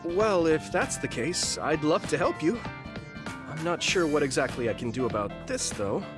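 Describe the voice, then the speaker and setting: A man playing an earnest, steady young soldier in a video game, speaking in a measured, kindly way. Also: on the high side, close to an everyday voice. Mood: gentle, encouraging. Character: earnest young male soldier, kind, steady, easily exasperated. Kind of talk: English video game voice acting. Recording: music behind the voice.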